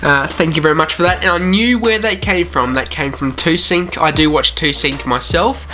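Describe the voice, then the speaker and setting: A man's voice: a little high, moderate pace, sharp tone. A man from Australia with a mild Australian accent, hosting a gaming channel and chatting loosely over gameplay with lots of fillers. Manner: chatty and rambling, with many "you know" fillers. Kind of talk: casual commentary over gameplay.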